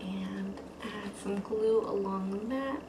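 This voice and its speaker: Female craft tutorial host, informal step-by-step delivery, medium pitch, roomy echoing sound. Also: takes it slowly. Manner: informal, addresses viewers as guys. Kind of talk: casual step-by-step how-to instruction. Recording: echoey room with faint background noise.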